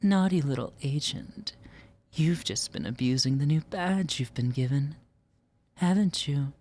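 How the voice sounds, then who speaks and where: A woman's voice: sits low, a little mellow. A woman performing a scripted roleplay as a teasing, domineering superior, in a sultry, condescending drawl. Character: teasing, domineering female superior scolding her agent.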